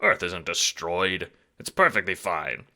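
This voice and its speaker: A man's voice: low voice, big pitch swings, unhurried, vocal fry, bright, forceful tone, exaggerated voice. A man voicing a blustering older mustached adventurer, incredulous and scornful, in an audio drama. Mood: weary disbelief.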